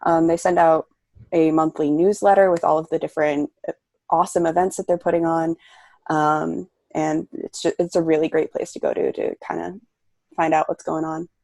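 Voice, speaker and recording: A man's voice: on the high side, level tone, normal speed, creaky, darkish tone, dry recording. A young man from Rhode Island, a student panelist speaking earnestly and casually on a video call. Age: young adult.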